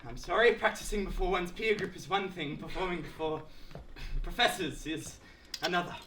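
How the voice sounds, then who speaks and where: A man's voice: sits high, moderate pace, fairly bright tone. Young man acting pompous Australian academic, echoey stage. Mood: earnest, oratorical. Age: teens. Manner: pompous, florid, oratorical. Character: pompous, aggrieved male academic lecturer slowly unravelling. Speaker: student actor. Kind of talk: theatrical stage monologue. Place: indoor school drama showcase, filmed performance. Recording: roomy echoing stage, faint noise, clicks.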